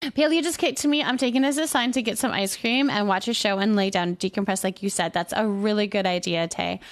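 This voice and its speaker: A woman's voice: mid-range voice, brisk. A woman hosting a casual livestream, chatting away as she reads and answers comments, often repeating her phrases in an affirming way. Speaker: livestream host.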